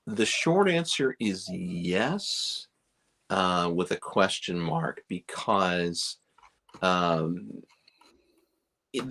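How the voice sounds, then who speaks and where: A middle-aged man from Chicago talks casually as a guest on a livestream. His voice sits very low and has a gravelly fry running through it. He rambles, pokes fun at himself and pads his sentences with fillers. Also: fairly bright tone. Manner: rambling and self-deprecating, with many fillers. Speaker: beard company owner, guest.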